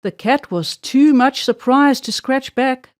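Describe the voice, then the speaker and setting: A woman's voice: high voice, measured pace, dry recording, studio quality. A woman narrating a children's storybook expressively, drawing out words for emphasis.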